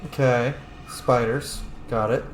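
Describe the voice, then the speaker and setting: A man's voice: medium pitch, fairly even intonation, slow. Wisecracking male gamer in an echoey room. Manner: informal, sweary, wisecracking. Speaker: gaming video host. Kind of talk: casual let's play commentary. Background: game music.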